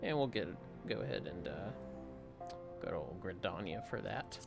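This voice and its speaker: Casting: man, casual game stream host, hushed and rambling, fry in the voice, clear music underneath. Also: deep voice, sing-song, moderate pace. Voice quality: soft, hushed. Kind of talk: casual gameplay commentary.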